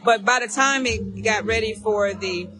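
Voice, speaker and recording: A hoarse woman, hosting a casual live chat for alumni, talking fast and chatty and repeating herself. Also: mid-range voice, pushed and bright, faint music behind. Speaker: alumni association host.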